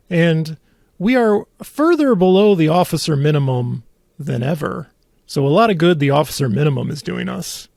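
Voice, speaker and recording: A man with an American accent and a heavily creaky, fry-laden voice, pitched a little high for a man. He talks like a wry, sardonic host giving casual political commentary, and the sound is dry and close. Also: darkish tone, no echo. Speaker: talk show host discussing local politics.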